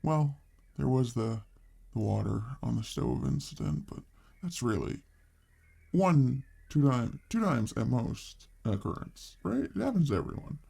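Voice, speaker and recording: A man acts out a thoughtful boyfriend on a date in an ASMR roleplay. His voice is soft and mellow with a lot of gravelly fry, and he talks slowly and casually. He rambles hesitantly, affectionate and gently teasing. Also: medium pitch, lively intonation, heavily acted. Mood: sheepish, defensive.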